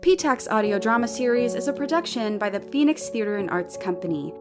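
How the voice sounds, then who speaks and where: Lively woman announcer over loud guitar music. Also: a little high, brisk. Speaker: announcer reading show credits. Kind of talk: scripted announcement.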